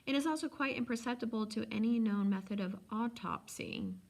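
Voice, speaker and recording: A woman's voice: a little high, a little fast, a little mellow, voice pushed up. A woman voicing a polite, sly, coolly indifferent old man selling potions in a storybook reading, with precise, insinuating salesman's patter. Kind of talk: storybook read-aloud.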